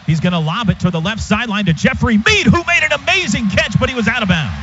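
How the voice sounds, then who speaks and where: A man's voice: sits high, animated, fast talker. A man doing live radio play-by-play of American football in clipped, descriptive phrases. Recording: dull radio broadcast with clear background noise.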